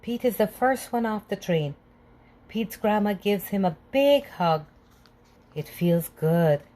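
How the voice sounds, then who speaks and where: Woman reading a kids book out loud, super slow and clear. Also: mid-range voice, lively intonation. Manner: slow, clear, gentle read-aloud delivery. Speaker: children's book reader. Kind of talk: read-aloud storytelling.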